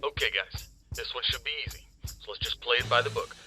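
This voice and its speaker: A man playing an exasperated, talkative soldier trying to lead his squad in an animated series, fussy and sarcastic. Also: mid-range voice, a little fast, over loud music, natural voice. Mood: coaching, sarcastic. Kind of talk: animated sci-fi combat dialogue.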